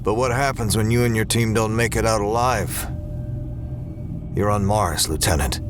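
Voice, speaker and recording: An actor playing a weary, cynical lone space ranger in a sci-fi audio drama, terse, guarded and grim. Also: male voice, on the low side, normal speed, background noise, lightly acted.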